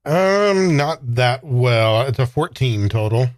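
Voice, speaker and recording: A man of medium pitch talks casually as a player in a tabletop roleplaying game, in and out of character. The sound is close and dry. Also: lively intonation, takes it slowly, no room sound.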